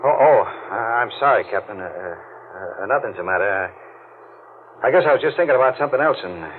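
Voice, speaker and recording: A noisy, phone-thin old radio recording carries an actor as a brooding American deep-sea diver. His voice sits low, and he talks in halting, tense, tough-guy slang. It is haunted 1940s radio drama. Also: expressive, narrow, tinny audio, natural voice. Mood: distracted, uneasy.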